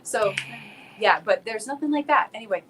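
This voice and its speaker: A woman's voice: medium pitch, expressive, normal speed, a crowd in the background, low-quality recording. An American woman from South Florida, a singer chatting casually as a guest in a video call interview, laughing often and restarting her sentences.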